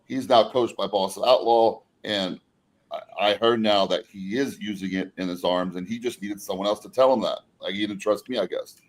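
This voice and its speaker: A man's voice: fairly low pitch, normal speed. A man in his forties hosting a casual podcast chat, talking bluntly and rambling, with swearing. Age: 40s. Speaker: podcast host.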